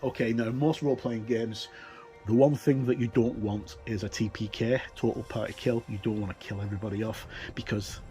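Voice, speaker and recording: A man's voice: lowish voice, steady tone, a little fast, dark tone, faint music behind. A middle-aged man giving casual, explanatory commentary as a tabletop gaming reviewer, chatty and rambling, leaning on his key points.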